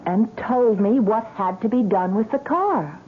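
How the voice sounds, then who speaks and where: A woman's voice: a little high, measured pace, phone-quality sound, a little hiss or hum, natural voice. An actress playing an anxious woman desperate to be believed in a vintage radio drama, earnest and wounded, by turns hesitant and emphatic. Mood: insistent. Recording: band-limited old radio recording with music.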